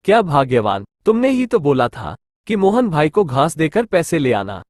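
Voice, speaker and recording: A man acts out a village character from a Hindi cartoon moral story. The voice is fairly high for a man and swings with lively intonation. It is recorded very dry, with no room echo. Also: moderate pace. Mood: puzzled. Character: gullible forgetful village priest and scheming greedy merchant.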